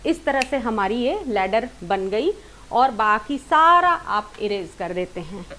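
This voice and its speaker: A woman's voice: medium pitch, animated, slow, fairly bright tone. A woman giving an informal singing lesson, explaining step by step with repeated, rephrased points and English words mixed in. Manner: mixes English words into talk. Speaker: singing teacher. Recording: clear background hiss and noise.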